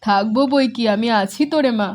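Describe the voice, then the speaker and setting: A woman's voice: fairly high pitch, a little fast, lightly acted. A woman voicing a caring village mother. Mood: motherly. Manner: gentle, motherly, hospitable. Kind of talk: animated cartoon dialogue.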